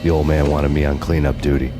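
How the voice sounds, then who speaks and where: Very deep brooding American noir detective. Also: male voice, fast, music in the background. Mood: grim, brooding. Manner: deadpan, sardonic. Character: vengeful world-weary hard-boiled male detective, bitterly wry. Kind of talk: noir scripted narration.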